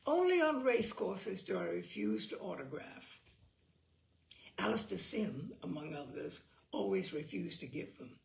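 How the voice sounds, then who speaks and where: A woman host reading a humorous literary essay aloud with theatrical, deliberate, carefully phrased delivery. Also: mid-range voice, huge pitch swings, creaky. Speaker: host and reader. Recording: roomy echo, distant microphone.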